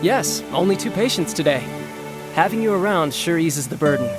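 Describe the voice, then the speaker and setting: An actor playing a gentle, earnest young man who heals villagers in a video game, with polite, well-spoken delivery. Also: on the high side, expressive, normal speed, lightly acted. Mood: warm, friendly. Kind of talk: video game cutscene acting. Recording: background music under the voice.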